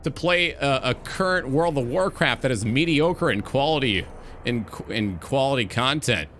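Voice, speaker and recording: A man's voice: medium pitch, lively intonation, moderate pace, fairly bright tone, soft background music. A man hosting a gaming talk show, delivering an opinionated monologue in an emphatic, blunt, sweary way.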